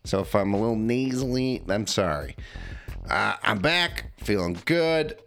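Male podcast host, slightly nasal, low voice, lively and rambling. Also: unhurried, gravelly, faint music behind. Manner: animated, rambling with fillers. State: a little nasal. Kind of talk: casual podcast chat.

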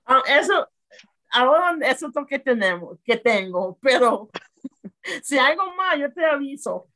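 A woman's voice: high-pitched, sing-song, a little slow, bright, forceful tone, no echo. A woman chatting casually as a radio interview guest, switching between two languages.

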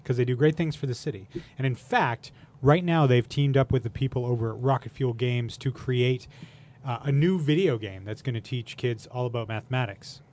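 A man's voice: medium pitch, moderate pace, a little mellow, a little hiss or hum. A man hosting a podcast in a casual, chatty style. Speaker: podcast host. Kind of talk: casual chat.

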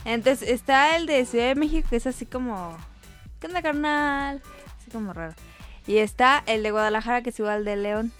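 A woman's voice: fairly high pitch, fairly quick, soft background music. A woman with a central Mexican accent, co-hosting a podcast in a playful, slangy, teasing way. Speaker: podcast co-host. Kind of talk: casual chat.

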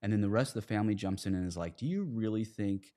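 A man with a fairly low voice hosts a conversational podcast. He argues his points emphatically and rhetorically, voicing imagined speakers as he goes. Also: animated, quick pace, mellow. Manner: emphatic, rhetorical, acts out quotes. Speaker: podcast host. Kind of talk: conversational podcast discussion, argumentative.

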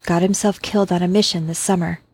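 A man's voice: high voice, little pitch movement, quick pace, breathy edge, dry recording, pitched above the performer's own voice. A man reading an audiobook and voicing Sasha, an indignant young woman telepath who speaks emphatically and asks pointed questions.

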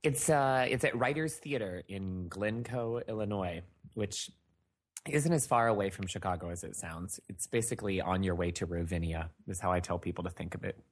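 A man with a husky, slightly breathy voice, co-hosting in an easygoing way. He is a stage performer and laughs easily. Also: sits low, normal speed. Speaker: podcast co-host and stage performer. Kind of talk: casual chat.

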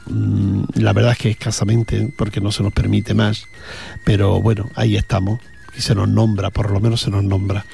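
A man's voice: on the low side, level tone, moderate pace, creaky, mellow. A man with an Andalusian accent, chatting casually as a radio host between songs, rambling with fillers. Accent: Andalusian Spanish. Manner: rambling, chatty, with frequent fillers and repeated words.

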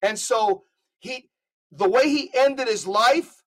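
A man's voice: very high voice, slow, fairly bright tone. A man talks as a podcast guest with fervent emphasis. He pushes his points hard and often restarts a phrase before pressing on. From United States. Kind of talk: conversational podcast talk.

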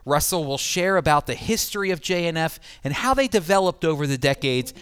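A man's voice: on the high side, animated, brisk, fairly bright tone, smooth, no echo, clean studio sound. A man hosting a radio interview program as its presenter and teacher. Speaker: radio host and Bible teacher.

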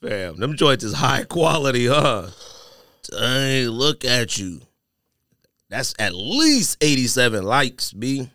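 A man hosting a podcast, bantering casually with plenty of slang and emphatic drawn-out words. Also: medium pitch, very animated, sharp tone, dry recording, studio quality. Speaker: podcast host. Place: podcast studio.

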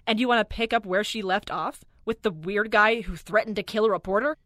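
An actor playing a blunt, exasperated coworker with deadpan replies. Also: male voice, high voice, a little fast, no room sound, clean studio sound. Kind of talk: audio drama dialogue.

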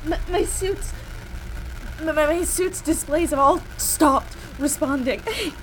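Terrified stranded explorer, sci-fi audio drama, tearful, halting, slow, light noise behind. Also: female voice, high voice, low-quality recording. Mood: despairing, frightened. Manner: halting, stammering, pleading. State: crying, panicked. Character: stranded woman space explorer, terrified and alone.

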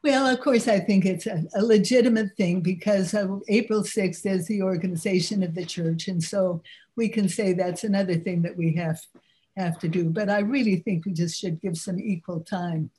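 An older woman with a low voice, speaking earnestly and at a measured pace as she delivers a religious talk. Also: warm tone, rough recording.

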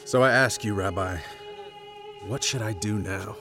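A man's voice: lowish voice, a little slow, music in the background. An actor playing a troubled, earnest betrothed man torn by conscience, hesitant and anguished, in a biblical audio drama. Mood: distressed.

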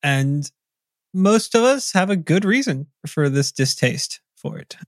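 A man from Tennessee co-hosting a casual podcast, reading out discussion points in a relaxed, agreeable way. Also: a little high, measured pace, no echo, clean studio sound. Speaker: podcast co-host. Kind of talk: casual chat, reading list items.

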